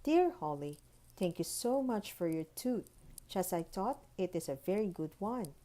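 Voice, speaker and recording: Woman reading as a courteous fairy, unhurried and melodic. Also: fairly low pitch, very animated, warm tone. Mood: grateful, approving. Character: courteous, formal female tooth fairy writing letters.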